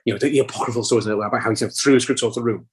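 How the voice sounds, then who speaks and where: A man, an actor and writer, telling an animated anecdote as a podcast guest. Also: mid-range voice, racing, husky. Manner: animated storyteller, acts out quotes. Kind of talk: interview anecdote.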